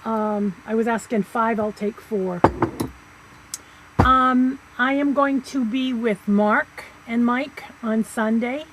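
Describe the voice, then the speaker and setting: A middle-aged woman casually listing items for sale on a live auction stream, slow and halting with many pauses and fillers. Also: on the high side, very noisy. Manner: slow, halting, lots of ums.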